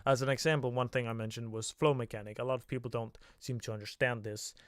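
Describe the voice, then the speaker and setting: A man's voice: mid-range voice, steady tone, fast, clean tone, no echo, clean studio sound. A young man hosting a casual livestream, talking in a loose, hesitant way full of filler sounds. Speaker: livestream host.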